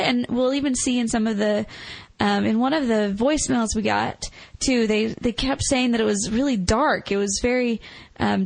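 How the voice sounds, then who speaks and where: A woman's voice: a little high, creaky, dry recording, low-quality recording. A woman co-hosting a podcast, chatting casually in a rambling way peppered with "like" and "you know". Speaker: podcast co-host. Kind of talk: casual conversational film discussion.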